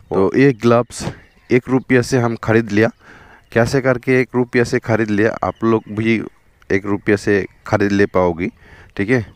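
Brief: young Bengali-accented male motovlogger, lowish voice, chatty, keeps saying "okay" and "look", close dry action-cam sound. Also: no room sound. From West Bengal, India. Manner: chatty, repeats "theek hai" and "dekho". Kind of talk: casual vlog narration while riding. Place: outdoors on a riverbank, on a motorbike. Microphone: helmet or chest action camera.

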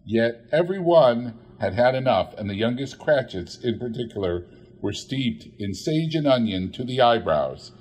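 A man's voice: mid-range voice, very animated, moderate pace, a little mellow, husky. A man narrating a classic story expressively, as an audiobook reader.

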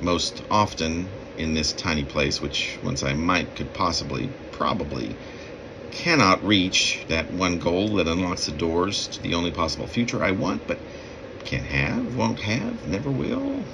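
Male poet, 50s, low register with swooping intonation, deliberate halting delivery of own verse. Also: very animated, gravelly, background noise. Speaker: poet reading own work. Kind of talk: poetry reading. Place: small room indoors.

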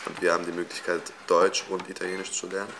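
Low gravelly teenage boy. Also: monotone, fast, noisy background. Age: teens. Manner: casual, hesitant with fillers. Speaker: student. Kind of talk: interview answer. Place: school library indoors.

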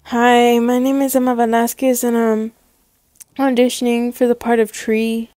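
A woman playing an eager, anxious teenage girl who dreams of acting, dramatic and excitable, in an acted story. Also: high-pitched, a little slow, clean tone, no echo, studio quality. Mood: nervous. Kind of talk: acted dialogue in a one-person story.

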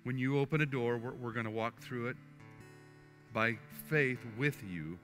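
An older man, a pastor, preaching and then praying at the altar in a church sanctuary, earnest and halting, often restarting his words. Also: medium pitch. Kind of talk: sermon and altar prayer. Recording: faint guitar music underneath. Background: soft guitar music.

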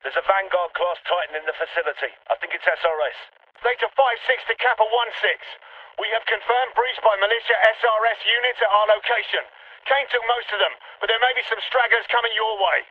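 High-voiced trooper on tinny radio. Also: male voice, brisk. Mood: businesslike, alert. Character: businesslike male military trooper reporting by radio. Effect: radio filter. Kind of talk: military radio report, video game. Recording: distant, thin radio transmission.

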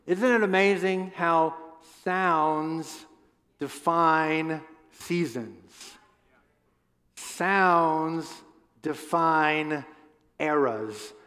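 A man's voice: on the high side, drawn-out words, long pauses. A man in his fifties preaching a conversational sermon on a church stage through a headset mic, asking the crowd questions and often restarting his words. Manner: animated, gestures a lot while pacing the stage. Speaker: pastor. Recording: long hall reverb.

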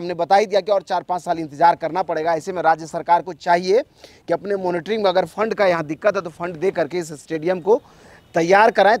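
High-voiced man giving a site report. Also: darkish tone, no echo. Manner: conversational, repeats points, frequent restarts. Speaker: local video reporter.

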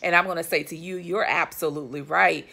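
A woman in her thirties talking straight to her phone camera from a living-room couch, chatty and emphatic with lots of asides to her viewers. Her voice sits low with a gravelly, fry-edged texture. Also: fairly even intonation, fairly quick, sharp tone. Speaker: vlogger. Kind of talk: casual commentary to camera. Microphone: phone or webcam at arm's length.

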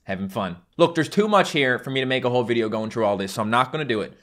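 A man's voice: medium pitch, animated, very fast, a bit bright. A man in his twenties from Newfoundland with a strong Newfoundland accent, joking and self-mocking as he talks to camera from a small room at home. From Newfoundland, Canada. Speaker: YouTuber. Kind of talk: casual vlog to camera.

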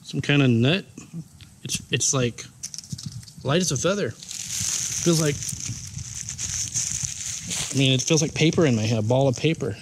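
A man's voice: mid-range voice, a little slow, pauses often. A man vlogging casually while walking through the woods, thinking aloud and unsure about what he finds. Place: outdoors in the woods. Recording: noisy outdoor recording.

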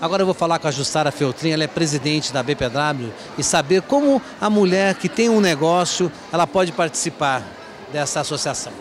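A man's voice: a little high, a little fast, over music. A middle-aged man reporting from an indoor event hall with a handheld mic, introducing guests in an upbeat, promotional way.

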